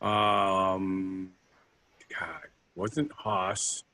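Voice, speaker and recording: A man's voice: low-pitched, steady tone, very slow, bright, forceful tone. A man co-hosting a podcast in a casual, playful, meandering chat. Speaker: podcast co-host.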